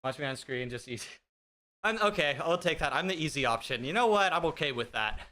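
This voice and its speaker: Thirties male streamer, a bit high and bright, joking casually with chat over a desk mic. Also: lively intonation, brisk. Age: 30s. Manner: joking, self-deprecating, chatty; talks while riding an indoor bike. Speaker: fitness streamer. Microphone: streaming desk mic with noise gate.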